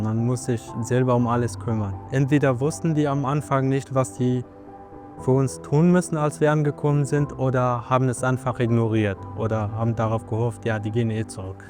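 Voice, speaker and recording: A man speaking calmly and in a measured way in a documentary interview. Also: medium pitch. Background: music.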